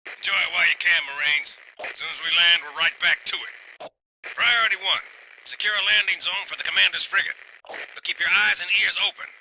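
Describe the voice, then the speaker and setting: A man playing a tough, gruff marine sergeant in a video game, barking commands. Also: high-pitched, steady tone, brisk, pushed and bright, a little hiss or hum, rough recording. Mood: brisk, commanding. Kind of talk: video game cutscene voice acting.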